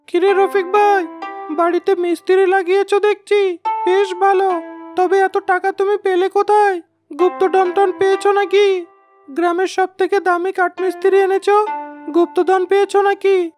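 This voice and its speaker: This is a cartoon henchman, sly and nosy. His voice sits extremely high and moves very little in pitch. He comes across as a servile village schemer. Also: little pitch movement, normal speed, music in the background. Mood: nosy, suspicious. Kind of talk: cartoon character dialogue.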